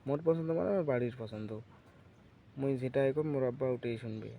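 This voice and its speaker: A man's voice: mid-range voice, fast, creaky, mellow, slight background noise, lightly acted. A man playing a doting, boastful young village boyfriend in a comic phone sketch, sweet-talking in northern Bengali dialect.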